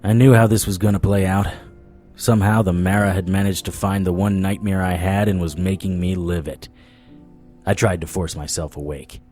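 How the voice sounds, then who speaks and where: A man's voice: deep voice, level tone, moderate pace, darkish tone, faint music behind, close to an everyday voice. A man playing a haunted, dogged supernatural investigator, telling his story in first person in a hard-boiled audio drama style. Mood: tense, narrating.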